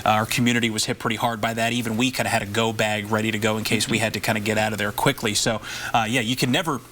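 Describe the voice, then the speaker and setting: A man's voice: fairly low pitch, flat delivery, very fast, sharp tone, a little hiss or hum. A man meteorologist chatting conversationally on air. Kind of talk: on-air studio chat.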